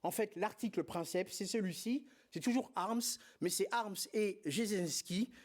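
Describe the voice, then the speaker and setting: A man with a notably high voice delivers a conference lecture on surgery. He speaks didactically and with emphasis, stumbling now and then over fillers and restarts. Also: unhurried, a little mellow. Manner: didactic, emphatic, frequent hesitations and filler words. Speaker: spine surgeon presenting at a congress.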